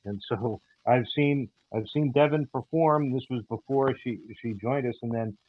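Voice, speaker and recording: A man telling anecdotes casually as a guest, halting often with stumbles and repeats. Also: mid-range voice. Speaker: interview guest, audio theater team member. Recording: dull, phone-like call audio.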